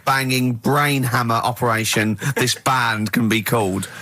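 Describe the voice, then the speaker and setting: A man's voice: medium pitch, fairly even intonation, unhurried, pushed and bright. A man hosting a radio show with casual comic banter, stammering and repeating phrases for emphasis.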